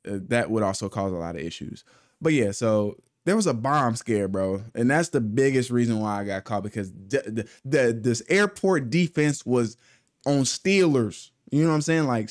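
A man's voice: fairly low pitch, smooth, no room sound, clean studio sound. Laid-back, cussing young man telling stories. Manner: laid-back, profane, rambling with restarts. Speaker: channel host. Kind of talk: casual storytime. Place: small home studio with foam panels. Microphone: studio mic with pop filter on arm.

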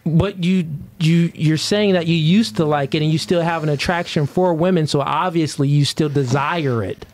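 A man hosting a live podcast, teasing his guests in casual banter from a couch in a studio, into a mic on a boom arm. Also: moderate pace, few pauses, a little hiss or hum. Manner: teasing, laughs often and gets loud when amused. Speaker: podcast host.

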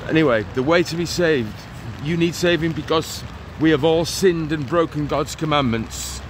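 A man in his forties preaches as he walks through town in the rain, his phone held out in front of him. His voice sits a touch high for a man, and his delivery is earnest and urgent, circling back over the same points. Clear traffic noise runs behind him. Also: moderate pace. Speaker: street evangelist vlogger. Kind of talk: walk-and-talk preaching vlog. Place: outdoors on a town street in the rain. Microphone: phone held at arm's length. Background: passing traffic.

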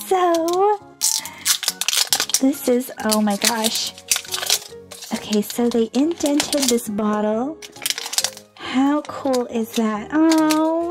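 Bubbly high-voiced woman losing her voice. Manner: bubbly and gushing, repeats "super awesome", giggles often. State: under the weather, losing her voice. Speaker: toy unboxing host. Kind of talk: cheerful toy unboxing narration. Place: indoors at a tabletop toy display.

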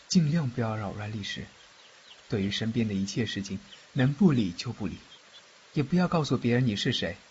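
A man acting a fugitive underworld official and doting, cocky godfather in a fan audio drama, expressive and protective. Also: fairly low pitch, lightly acted. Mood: grave, cautioning. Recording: muffled, faint background noise, rain-like ambience.

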